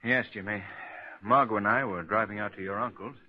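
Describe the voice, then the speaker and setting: An actor playing an urbane, unruffled, good-humoured gentleman detective in a 1940s radio drama. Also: on the low side, brisk, close to an everyday voice. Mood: easygoing. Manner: easygoing, chuckling, polite. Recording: dull lo-fi 1940s radio recording.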